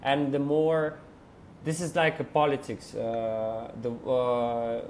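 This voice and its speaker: Non guy on a podcast, lots of uh and restarts, slight rumble behind him. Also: monotone, darkish tone. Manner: halting, many ums and restarts, non-native phrasing. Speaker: podcast co-host. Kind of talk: casual chat.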